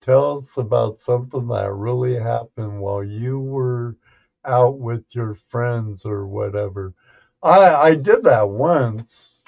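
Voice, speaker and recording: A man hosting a casual livestream, chatting and telling stories in a halting, unhurried, folksy way. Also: medium pitch, vocal fry, highs cut off. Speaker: livestream host and storyteller. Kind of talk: casual livestream chat and storytelling.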